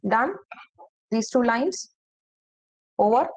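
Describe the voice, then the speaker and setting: A woman teaching a French class, slowly repeating phrases and checking that students follow. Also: on the high side, expressive, low-quality recording. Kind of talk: online language class.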